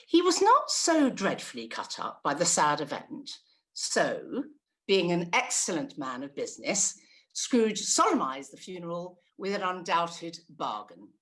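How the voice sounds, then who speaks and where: A woman playing an opinionated, gossipy storyteller of a Victorian tale, reading expressively and emphatically on a video call. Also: lowish voice.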